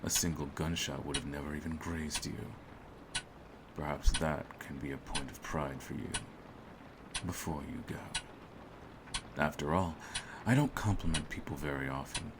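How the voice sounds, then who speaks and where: Guy doing a cold smug assassin for asmr roleplay, super low voice, slow n menacing, noisy recording. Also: fairly even intonation. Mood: cold, composed menace. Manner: theatrical, menacing, deliberate phrasing. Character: cold, smug male hitman holding his target at gunpoint. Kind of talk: scripted ASMR audio roleplay.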